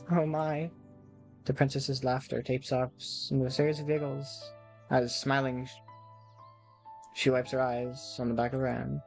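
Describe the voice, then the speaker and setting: Casting: amateur game narrator, mid pitch, expressive storybook reading with offhand asides, music underneath. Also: low-quality recording.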